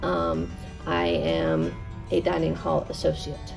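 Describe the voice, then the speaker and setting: A woman's voice: low-pitched, very animated, slow pace, gravelly. A woman who works as a dining hall associate, answering interview questions frankly. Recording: distant mic with music underneath.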